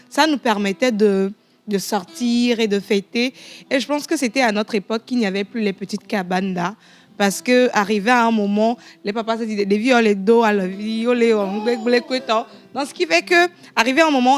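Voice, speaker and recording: A woman on a studio panel reminiscing in Togolese-accented French, speaking in a measured, reflective way. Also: a little high. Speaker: talk show panelist.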